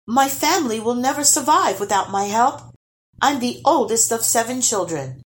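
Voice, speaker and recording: A woman's voice: a little high, very animated, normal speed, bright, forceful tone, pitched above the performer's own voice. A woman voicing an earnest, polite young boy in a children's storybook, with a pleading manner.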